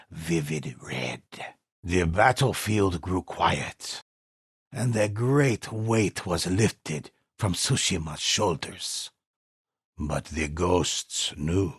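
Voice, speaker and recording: An actor playing a grave old male storyteller in a video game, narrating warrior legends in a solemn, measured, husky voice. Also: low voice, a bit bright, no room sound, studio quality, heavily acted. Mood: grave, foreboding. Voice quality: husky, raspy. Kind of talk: dramatic scripted storytelling narration.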